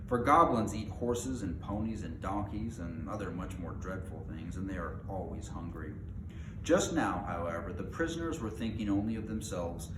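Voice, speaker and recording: A man with a fairly low voice reads a story aloud. His delivery is informal, like someone reading at home. The room rings around him and there is clear noise in the recording. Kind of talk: storybook read-aloud with casual asides. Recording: roomy echo, clearly noisy background.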